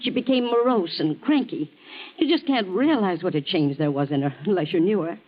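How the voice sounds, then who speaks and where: An excitable housekeeper in a 1940s radio drama, her pitch swinging widely. She is defensive, emphatic and sharp-tongued, and the old recording is muffled and lo-fi. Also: a little fast. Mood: gossipy, bitter. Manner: defensive, emphatic, easily flustered.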